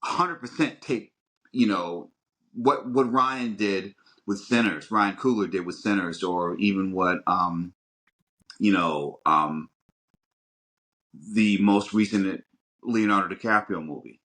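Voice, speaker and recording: A man's voice: deep voice, a bit bright. A man co-hosting a podcast, giving opinions on films in an emphatic, rambling way with frequent restarts. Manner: emphatic, halting and rambling with repeated starts. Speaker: podcast co-host. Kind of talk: casual opinionated film chat.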